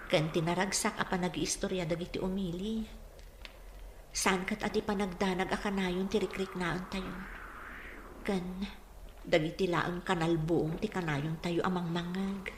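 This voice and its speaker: A woman's voice: on the low side, fairly even intonation, unhurried, lightly acted. An actress playing a wistful, troubled village woman who longs for peace, in an earnest, reflective radio drama style. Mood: wistful hope. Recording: echoey room with faint noise.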